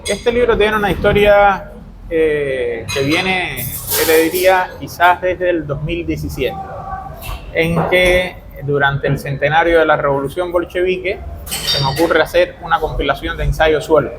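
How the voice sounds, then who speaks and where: A man's voice: a little high, a little slow, background noise. A man with a Cuban accent giving discursive, detailed, explanatory answers as an author being interviewed. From Cuba.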